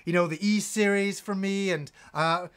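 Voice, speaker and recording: A man in his forties, a gaming channel host, reading donations on a live stream with lively enthusiasm and rambling restarts, in a room with game shelves. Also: high-pitched, normal speed. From Yorkshire, England, raised in Canada. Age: 40s.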